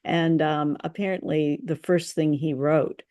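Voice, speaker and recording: A woman's voice: sits low, fairly even intonation, unhurried, warm tone, no room sound, studio quality. A woman co-hosting a radio show, chatting informally and enthusiastically about stories. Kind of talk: casual chat about stories.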